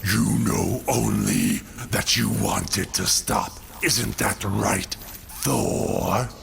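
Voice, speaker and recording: An actor playing a vengeful, cold alien god-killer villain in a comic-book audio drama, with gravelly, grandiose, theatrical delivery. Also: male voice, on the low side, very slow, slight background noise, heavily acted. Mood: cruel, patient. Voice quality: gravelly, creaky. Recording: loud music under the voice.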